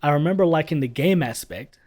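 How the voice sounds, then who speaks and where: A man's voice: on the high side, lively intonation, fairly quick, heavy background noise. A man giving casual voice-over commentary as a video reviewer, blunt and sarcastic, swearing freely. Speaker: YouTube reviewer.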